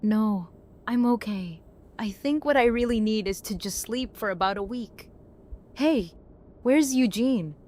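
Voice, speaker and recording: A woman's voice: on the high side, unhurried, a little mellow, natural voice. A woman playing a tough, grieving young survivor in an audio drama, speaking in a blunt, weary way. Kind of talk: fan audio drama acting.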